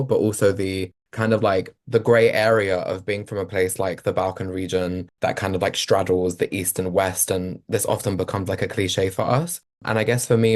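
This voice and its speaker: A man speaks eagerly and fluently as a podcast guest, using "like" often. His voice sits deep and low. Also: a little mellow. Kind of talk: animated interview answers.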